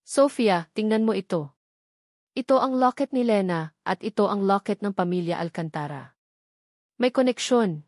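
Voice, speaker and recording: A woman's voice: medium pitch, expressive, normal speed, long pauses, darkish tone, no room sound, clean studio sound, lightly acted. A woman narrator voicing a serious, determined female lawyer in storybook dialogue. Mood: intent.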